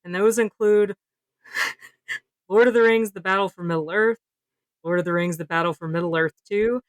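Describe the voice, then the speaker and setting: A woman's voice: mid-range voice, a little slow, dry recording. A woman co-hosting a casual podcast discussion, informal and giggly, with lots of "like" in her speech. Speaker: podcast co-host.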